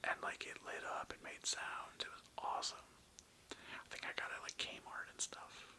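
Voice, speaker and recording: Casting: young adult ASMR host, breathy whisper with very sharp edge, loose and slangy rambler. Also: normal speed. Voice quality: breathy, mostly unvoiced whisper. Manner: casual, rambling, slangy and sweary. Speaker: ASMR video creator and host.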